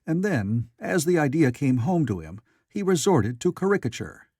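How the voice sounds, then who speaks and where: A man's voice: fairly high pitch, very animated, moderate pace, dark tone, husky, dry recording, clean studio sound. A man reading classic literary fiction aloud as a scripted audiobook narrator.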